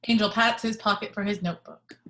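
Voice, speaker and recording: Brief: female stage-direction reader, even, matter-of-fact, home video-call mic. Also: mid-range voice, fairly quick. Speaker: reader of the action description. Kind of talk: reading stage directions aloud. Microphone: video call microphone at home.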